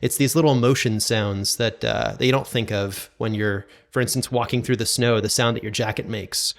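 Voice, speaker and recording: A man's voice: on the low side, steady tone, normal speed, vocal fry. A man speaking as an interview guest, explaining technical things in a casual, conversational way. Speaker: podcast guest, audio drama producer and sound designer.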